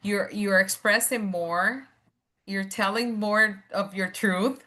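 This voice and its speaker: Woman host, bright sharp tone, encouraging, upbeat, video call. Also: medium pitch, fairly even intonation, slow. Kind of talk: hosting a video call networking event.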